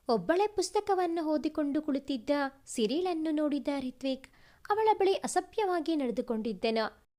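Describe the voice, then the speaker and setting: A woman reads a sentimental family story aloud as a storyteller, in a measured, formal way. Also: high voice, darkish tone. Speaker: storyteller, narrator.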